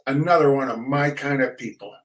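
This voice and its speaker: A man's voice: mid-range voice, a little fast, distant mic. An American man hosting casually, rambling with folksy showman's asides and repeated phrases. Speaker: host of a fundraising reading show. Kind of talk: casual rambling chat to an audience. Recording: voice some way from the mic.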